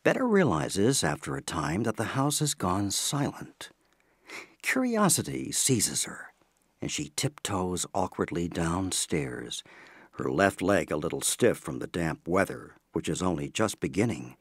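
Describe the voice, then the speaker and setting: A man with a fairly low voice tells a scripted story. His intonation moves widely, and his phrasing is deliberate and theatrical, as in an audio play. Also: big pitch swings, slightly rough, no room sound, studio quality. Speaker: narrator. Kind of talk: scripted dramatic storytelling narration.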